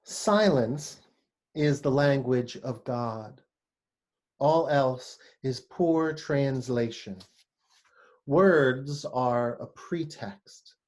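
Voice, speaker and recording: A man acting a shy young dreamer in a stage play. He speaks slowly at a middling pitch, hesitant and apologetic, with an earnest love of poetry. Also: darkish tone. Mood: reverent, hushed. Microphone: video call.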